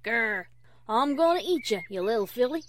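A woman puts on a growling storybook wolf, hungry and menacing. The delivery is loud, hammy and taunting, with folksy turns and big swings of pitch. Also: animated, normal speed, a little hiss or hum, close to an everyday voice. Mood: menacing glee. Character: menacing hungry wolf, mock villain played by farm pony.

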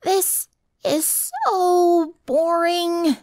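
Woman voicing a high, brash tomboy girl. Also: expressive, unhurried, no room sound, clean studio sound. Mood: bored. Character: brash, impatient tomboy teenage girl, easily bored.

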